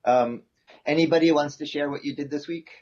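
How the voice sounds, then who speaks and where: A man's voice: a little high, fairly quick, a little mellow. A man instructing an online class informally over a video call, thinking aloud in a hesitant way. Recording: dull, lo-fi video call audio.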